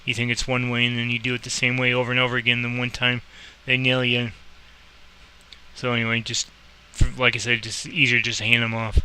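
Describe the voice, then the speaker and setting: Male game tutorial host, informal and rambling. Crisp, very forward tone, little melodic movement, switches into controller phrasing. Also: medium pitch, monotone, fairly quick, sharp tone, a little hiss or hum, poor audio. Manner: informal, rambling, thinking aloud. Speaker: host explaining a game and playing air traffic controller. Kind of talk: casual screen-recorded game tutorial with radio calls.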